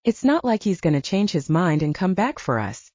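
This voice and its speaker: A woman's voice: on the low side, big pitch swings, normal speed, darkish tone, no room sound, muffled recording. A woman voicing a cocky, teasing, pragmatic male soldier in an audiobook, with casual bantering and coaxing. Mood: challenging. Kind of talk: dramatized audiobook dialogue.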